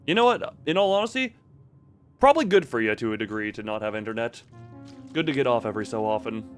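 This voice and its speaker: A man's voice: mid-range voice, expressive, fast talker, soft background music. A man live-streaming a video game with casual commentary and chat with viewers, rambling in half-finished thoughts with playful sound words.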